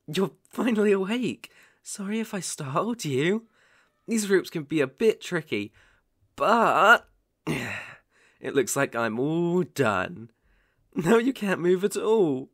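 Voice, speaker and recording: An obsessive young boyfriend, acted by a man for an ASMR roleplay, sugary and menacing at once. He speaks slowly and close to the mic, his pitch swooping theatrically between coaxing and intense, with a gravelly fry in the voice. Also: no echo, exaggerated voice. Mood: falsely sweet menace. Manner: theatrical, slow, coaxing with sudden intense swells. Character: possessive yandere boyfriend, menacing yet sugary, unhinged. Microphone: close studio microphone.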